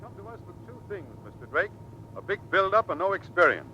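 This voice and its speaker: Male squadron commander, authoritative and animated, stern yet fair, old radio serial. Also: high-pitched, a little fast, muffled recording, exaggerated voice. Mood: stern, scolding. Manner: clipped, authoritative. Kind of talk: 1940s radio acting. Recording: faintly noisy vintage radio recording.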